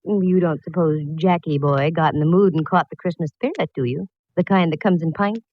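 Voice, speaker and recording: A woman's voice: lowish voice, brisk, no echo, lightly acted. An actress playing a breezy, wisecracking female private eye in 1940s radio style. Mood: breezy teasing. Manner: wry, flip. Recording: muffled, faintly hissy old radio recording.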